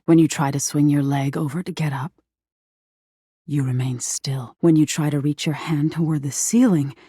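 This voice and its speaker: An ominous living nightmare from a video game, female and intimate. The voice is low and dark, with a husky, breathy grain that sinks toward a whisper. The delivery is slow, deliberate and tender, like dreadful bedtime narration, recorded very dry and close. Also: no room sound. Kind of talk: scripted dramatic game narration.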